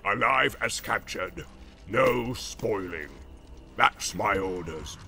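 A man voicing a big, brutal, boastful orc captain with a deep growl, barking commands in a fantasy audiobook. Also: expressive, slow pace, vocal fry, very noisy, exaggerated voice. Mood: angry, commanding. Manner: barked, emphatic declarations.

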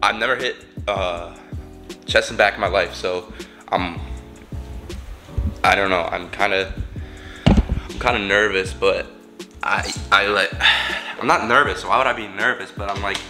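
A man's voice: on the low side, measured pace, long pauses, music in the background. A young man with a nasal, congested voice, rambling casually to a handheld camera from inside his car, full of fillers and restarts. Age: young adult. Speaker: fitness vlogger. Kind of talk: casual vlog talk to camera. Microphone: camera held at arm's length.

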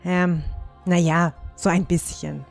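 A woman's voice: fairly low pitch, little pitch movement, slow, gravelly, faint music behind. A woman playing a confident, eager young dwarf huntress in a fantasy audio drama. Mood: confident, cheerful.

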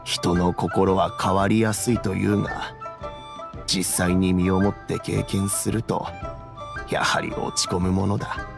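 A man's voice: deep voice, flat delivery, a little slow, gravelly, over music. An actor playing a patient, reflective old man in a game, with old-fashioned, admonishing phrasing. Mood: grateful, subdued. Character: kindly old male elder, patient, reflective, gruff when sulking. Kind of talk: Japanese game voice acting.

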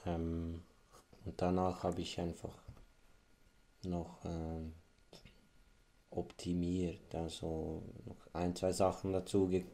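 Swiss german guy, thirties, deep voice, chatting as a guest, hesitant and thoughtful. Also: flat delivery, takes it slowly, pauses often, dark tone. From Switzerland. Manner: hesitant, thoughtful, searching for words. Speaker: interview guest. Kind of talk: casual recollection.

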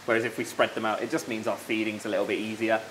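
A young man on staff at a fish shop, explaining his tanks in a relaxed way with lots of ums and yeahs, recorded in a large indoor shop. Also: lowish voice, very fast, slight background noise, rough recording. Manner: relaxed, explains with fillers like um and yeah. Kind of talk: casual walkthrough chat.

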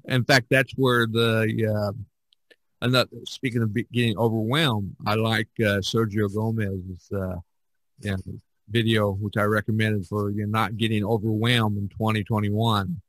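A man's voice: fairly low pitch, level tone, a little slow, a bit bright, no room sound, low-quality recording. Man chatting casually, dry close sound. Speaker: podcast host. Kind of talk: casual podcast discussion.

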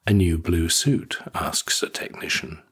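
A man narrating a scripted literary short story in a husky voice. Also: low-pitched, measured pace, fairly bright tone.

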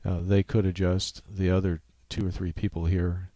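A man with a low voice narrates a screen-capture tutorial. He explains each step off the cuff, halting as he goes, in a casual way. The sound is dry, with no room to it. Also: level tone, warm tone, dry recording. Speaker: software tutorial presenter. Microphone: USB microphone.

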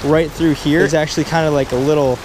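A young man vlogging outdoors by the water on a windy day, chatty and excitable, talking into an action camera. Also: fairly high pitch, quick pace. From Delaware, US. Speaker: fishing vlogger. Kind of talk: casual vlog narration. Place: outdoors by a windy spillway, also a store and a parked car. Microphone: action camera, handheld or chest-mounted. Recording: outdoor wind noise.

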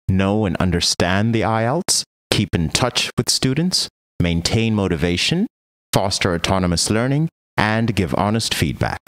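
A man with a low-pitched voice narrates a scripted training explainer. His delivery is measured and orderly, moving through the material point by point like an instructional voice-over. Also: fairly bright tone.

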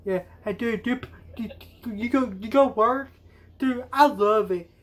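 A young man talks casually as an amateur vlogger on a video call. His delivery is halting and he often repeats words. He is recorded on a phone camera in a small room at home. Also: very high-pitched. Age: young adult. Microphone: phone camera at arm's length.

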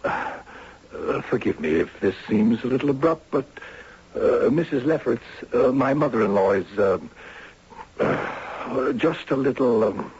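An actor playing a smooth, evasive director of an old-age home in a 1970s radio drama, fussy and over-polite, hesitating and repeating himself. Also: male voice, medium pitch, lively intonation, a little slow, darkish tone. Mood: evasive, flustered. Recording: dull vintage radio broadcast.

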